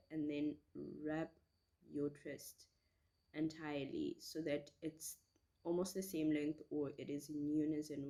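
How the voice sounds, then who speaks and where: A woman with a slightly hoarse voice from a cold, chatting casually and reassuringly through a step-by-step tutorial. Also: deep voice, warm tone. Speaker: hairstyle tutorial host.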